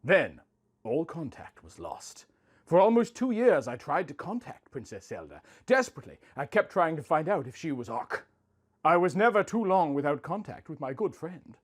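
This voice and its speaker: A man's voice: fairly high pitch, very animated, normal speed. A man narrating a scripted first-person story. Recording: music under the voice. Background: music.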